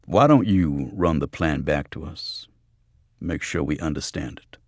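A man's voice: a bass voice, unhurried, no room sound, studio quality. A man voicing a grim, streetwise fighter in an audiobook thriller, matter-of-fact and wry.

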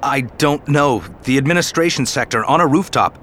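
A man's voice: medium pitch, moderate pace, a bit bright, slight background noise, pitched above the performer's own voice, lightly acted. A man voicing a young male apprentice who speaks urgently and pleads for help. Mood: urgent pleading.

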